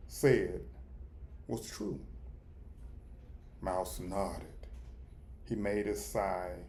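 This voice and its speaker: A man narrating a storybook as a reader, deliberate, in short and sometimes halting phrases. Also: lowish voice, creaky, darkish tone, slight background noise. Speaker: audiobook reader.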